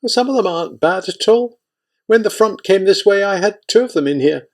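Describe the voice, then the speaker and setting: A man's voice: sits high, expressive, a little fast, pitched above the performer's own voice. A man voicing an old Ukrainian village woman, kindly but bitter, speaking with pleading indignation.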